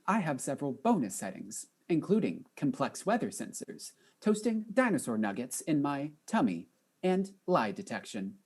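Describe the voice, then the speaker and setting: A woman playing a polite, earnest robot chief with formal, measured speech in a comedic audio drama. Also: deep voice, big pitch swings, a little mellow, breathy edge, exaggerated voice.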